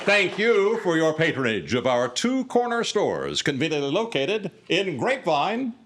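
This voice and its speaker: A man's voice: on the high side, very animated, a little slow, a bit bright, slight background noise. A man reading a scripted live radio sponsor announcement as the announcer.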